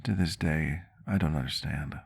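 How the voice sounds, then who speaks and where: A man playing a gentle, earnest vampire doctor in an ASMR roleplay, speaking in a measured, reflective and rather formal way with a gravelly voice. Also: rumbling low, exaggerated voice. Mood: wistful, reflective. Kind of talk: ASMR roleplay, soft intimate storytelling to the listener.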